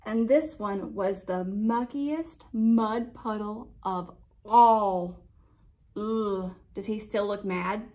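A young adult woman reading a children's picture book aloud with expressive, drawn-out emphasis, pausing to put questions to young listeners. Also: medium pitch. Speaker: library storytime reader.

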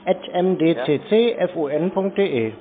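A man chatting casually on a phone call in a deadpan, dithering, colloquial way. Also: sits high, normal speed, a little hiss or hum. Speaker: scambaiter playing along with caller. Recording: thin narrowband phone-like sound.

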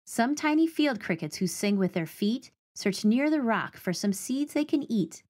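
A woman's voice: fairly high pitch, animated, normal speed, darkish tone. Woman reading a children's book aloud. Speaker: teaching artist reading a picture book aloud. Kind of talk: children's read-aloud of a rhyming picture book and nature facts.

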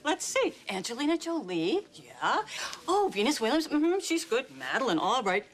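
A woman's voice: high-pitched, big pitch swings, normal speed. An actress playing an anxious, self-deprecating woman with a wry, nervous way of talking. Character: anxious self-conscious woman at her first photo shoot. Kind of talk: sitcom acting. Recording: sitcom with studio audience laughter.

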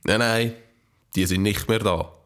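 Low-voiced historical village gunsmith. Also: male voice, unhurried, a bit bright. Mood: matter-of-fact reminiscing. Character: plain-spoken village gunsmith of the 19th century, remembering family tales. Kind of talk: scripted audio drama.

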